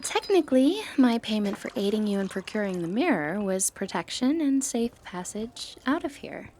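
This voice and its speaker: A woman playing a sly, confident, flirty thief in a fantasy roleplay, playful and cheeky with breathy asides. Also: medium pitch, very animated, normal speed, darkish tone, slight background noise, close to an everyday voice. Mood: inviting. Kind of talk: scripted fantasy ASMR roleplay, addressing the listener.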